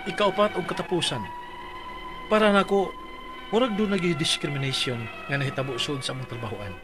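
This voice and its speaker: A man's voice: a little high, fairly even intonation, brisk, music in the background. A man reading out his dramatized complaint letter for a radio advice show, earnest and pleading.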